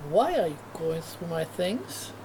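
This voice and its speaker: A woman's voice: on the low side, very animated, a little slow, creaky, background noise, full character voice. A woman at a table read playing a nervous, confused elderly mother with a German accent. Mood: nervous, scolding. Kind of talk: screenplay table read acting.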